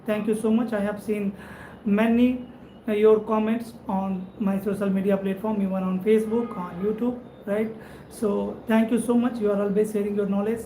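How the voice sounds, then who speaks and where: A man's voice: high voice, little pitch movement, normal speed, dark tone. A man from India with an Indian English accent, hosting a live stream as a networking instructor. He talks in a friendly way, thanks viewers again and again, and often adds "right?" to his sentences. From India (Madhubani, Bihar). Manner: friendly, repetitive thanks, frequent "right?" tag. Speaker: networking instructor and channel host. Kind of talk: live-stream chat reading viewer comments. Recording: roomy echo, faint background noise.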